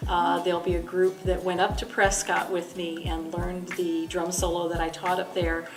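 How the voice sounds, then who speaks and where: A chatty middle-aged woman with a slightly low voice, talking about her work as a dance teacher. She laughs easily and pokes fun at herself. Soft music plays faintly behind her. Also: monotone, normal speed. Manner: chatty, self-deprecating, laughs often. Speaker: belly dance instructor. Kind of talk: relaxed interview answers.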